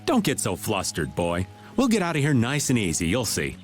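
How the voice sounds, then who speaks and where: A man's voice: fairly low pitch, wildly swooping pitch, normal speed, faint music behind. A male actor voicing a carefree, philosophizing middle-aged man in a video game, with a theatrical drawl. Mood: laid-back, musing. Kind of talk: English video game voice acting.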